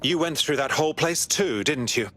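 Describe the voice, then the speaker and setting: Casting: performer as guarded, probing operative for a game drama, determined, expressive inflection. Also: mid-range voice, normal speed, close to an everyday voice. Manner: probing, direct.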